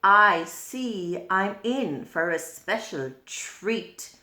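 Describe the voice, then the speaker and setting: Lady doing a hungry menacing boy bird for a kids story, slow gloating talk, roomy sound. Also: mid-range voice, lively intonation, sharp tone, heavily acted. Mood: sly menace. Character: menacing hungry male brown bird of prey.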